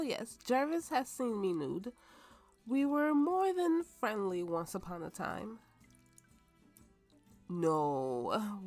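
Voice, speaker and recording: Woman playing a tipsy warrior mistress, giggly, flirtatious, bossy, unhurried, ASMR roleplay, faint music underneath. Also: a little high, gravelly, full character voice. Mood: wistful, tipsy. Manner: drunken, giggly, playful, imperious. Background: soft background music.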